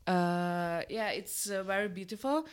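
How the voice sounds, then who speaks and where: A woman with a Slovenian accent, a teacher giving unscripted interview answers in halting, simple English. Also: medium pitch, clear, resonant tone.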